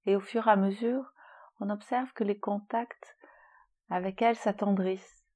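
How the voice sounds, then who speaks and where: A woman leading a guided relaxation meditation in a soothing, gentle, unhurried manner with long pauses. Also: mid-range voice, fairly even intonation. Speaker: yoga nidra relaxation guide.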